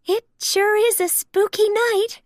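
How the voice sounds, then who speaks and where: A woman voicing an excitable, nervous female cartoon character in a storybook, sweet and girlish. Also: very high-pitched, takes it slowly, no echo, studio quality, voice pushed up.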